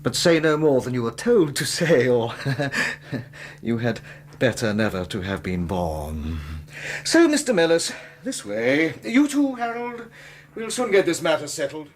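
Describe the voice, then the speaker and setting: A man's voice: fairly high pitch, big pitch swings, measured pace. An actor playing a mean villain in a radio drama, falsely polite and menacing, with a nervous laugh in his speech. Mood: sinister, brisk. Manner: oily politeness with veiled threats.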